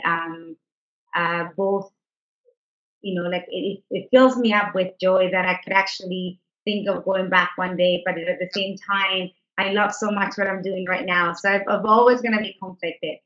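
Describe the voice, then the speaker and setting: Bright-voiced woman on a lo-fi call. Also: lowish voice. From Canada. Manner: chatty, many fillers and restarts. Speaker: co-founder presenting on a webinar. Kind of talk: casual self-introduction. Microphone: video call. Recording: lo-fi online call audio.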